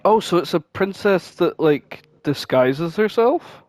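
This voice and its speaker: A man's voice: high-pitched, lively intonation, takes it slowly, darkish tone, no echo. A man chatting casually as a podcast co-host, informal and prone to swearing. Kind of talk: casual chat, guessing game.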